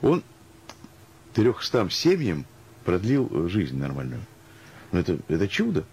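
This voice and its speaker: A man's voice: low-pitched, huge pitch swings, a little slow, creaky, darkish tone, slightly rough. A man speaking as a guest in a radio interview, musing and ironic, arguing through anecdotes and examples. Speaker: interview guest, music professional.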